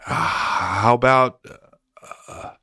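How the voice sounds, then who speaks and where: A man's voice: medium pitch, very slow, sharp tone, clean tone, no room sound. A man playing a flustered studio boss in a comic radio drama, stammering and placating as he wheels and deals. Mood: stammering, pleading. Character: smooth-talking, flustered male studio boss wheeling and dealing.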